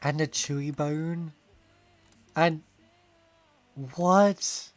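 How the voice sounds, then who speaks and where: A man's voice: a little high, fairly even intonation, drawn-out words, no echo. A man chatting casually on a game stream, rambling, laughing often and reading chat aloud. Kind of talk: casual live-stream chat while gaming.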